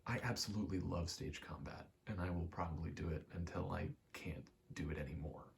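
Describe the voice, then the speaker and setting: A soft-spoken man rambling casually in ASMR style, hesitant and pausing often between short phrases. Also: deep voice, creaky, slight background noise. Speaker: ASMR vlogger and aspiring actor.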